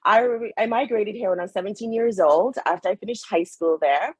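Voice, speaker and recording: A woman's voice: mid-range voice, brisk, slightly rough, no echo. A middle-aged woman from Saint Lucia, a nurse and hospital administrator, giving a friendly, conversational talk on a video call.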